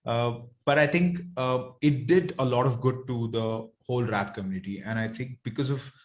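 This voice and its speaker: A man's voice: mid-range voice, moderate pace. A man from Bangalore with a South Indian accent, speaking casually and earnestly as a rapper being interviewed, rambling as he thinks. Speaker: rapper guest.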